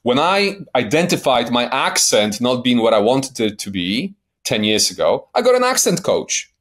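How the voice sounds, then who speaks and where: A man's voice: medium pitch, big pitch swings, moderate pace, a bit bright. A man in his forties with a light Polish accent and a mid-range voice, speaking as a podcast guest. He talks bluntly and emphatically, drops swear words for punch, and keeps checking in with his listener. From Poland. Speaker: guest life coach. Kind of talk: podcast interview.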